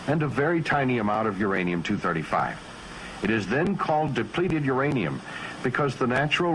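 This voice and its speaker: American man, lively-pitched formal instructional narrator. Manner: measured, precise, matter-of-fact. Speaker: training film narrator. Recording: noisy military training film soundtrack.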